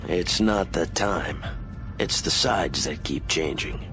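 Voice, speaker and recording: A wry, laconic space pilot hero with a lowish voice. His delivery is dry and understated, with game music behind. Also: slow, fairly bright tone, heavily acted. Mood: subdued. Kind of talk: scripted game cutscene acting.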